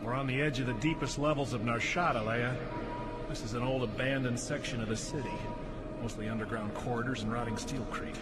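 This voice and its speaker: An actor playing a cocky, sardonic smuggler pilot in a science fiction audio drama. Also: male voice, mid-range voice, fairly even intonation, brisk, loud music behind the voice, lightly acted. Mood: wry, wary. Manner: sardonic, brash. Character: cocky, wisecracking male smuggler pilot, grudgingly loyal. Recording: loud spaceship engine and ambience noise.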